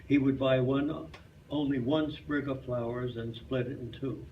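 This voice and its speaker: A man's voice: medium pitch, fairly even intonation, a little slow, slight background noise. A man reading a folk tale aloud as a storyteller at a live club performance. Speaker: storyteller at a club reader's theater. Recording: echoey, muffled, distant live room recording.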